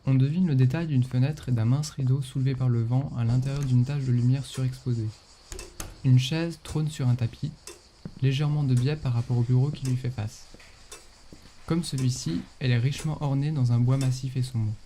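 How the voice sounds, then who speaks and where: A man's voice: medium pitch, fairly even intonation, normal speed, warm tone, a little hiss or hum. A man reading a scripted, literary description of an image. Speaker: photo describer.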